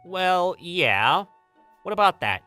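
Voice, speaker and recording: Casting: man as teenage gamer boy, animated pitch, bright tone, blunt and offhand, romcom manga dub. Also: slow pace, clear, resonant tone, soft background music, full character voice. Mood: irritated. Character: Takumi, aloof teenage boy gamer, blunt but kind. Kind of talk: manga dub acting with scripted first-person narration.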